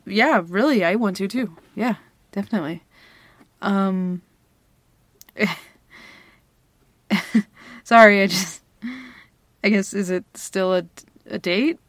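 A woman's voice: medium pitch, slow, long pauses, no echo, studio quality, close to an everyday voice. A young woman playing a flustered girl smitten with her best friend in an intimate ASMR roleplay, hesitant, stammering and giggly. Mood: shy, flustered. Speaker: audio roleplay performer. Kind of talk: ASMR girlfriend roleplay, intimate one-sided dialogue.

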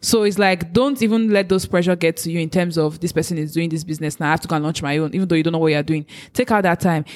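A woman from Africa in a casual chat, giving earnest, faith-driven encouragement. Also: lowish voice. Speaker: co-host giving advice.

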